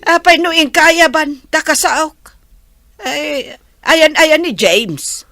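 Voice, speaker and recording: A woman playing an anxious, scheming, pleading mother in an audio drama, with drawn-out, plaintive delivery. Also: sits high, animated, pushed and bright, dry recording, studio quality, heavily acted. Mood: insistent. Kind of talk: Filipino audio drama acting.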